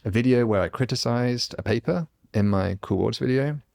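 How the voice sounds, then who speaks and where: A man's voice: medium pitch, moderate pace, creaky, a little mellow. A man hosting a podcast, talking in an earnest, reflective way in a casual conversation. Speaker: podcast host.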